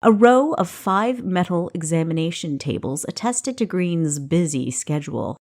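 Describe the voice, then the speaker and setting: A woman's voice: fairly low pitch, animated, normal speed, darkish tone. A woman reading scripted fiction as an audiobook narrator.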